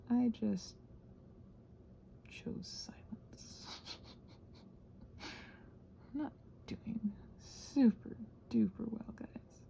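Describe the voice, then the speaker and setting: A soft-spoken woman chatting casually and musing aloud as a craft vlogger, often slipping toward a whisper and gently reassuring herself. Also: a little high, creaky, a little hiss or hum. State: short on sleep. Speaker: craft vlogger and ASMR host. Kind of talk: casual rambling craft chat.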